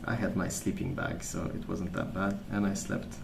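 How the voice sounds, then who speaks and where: A man speaking softly as an ASMR host, rambling through stories in a hesitant, pausing, unhurried way. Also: low-pitched, flat delivery, vocal fry, noisy background. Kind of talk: soft-spoken ASMR rambling.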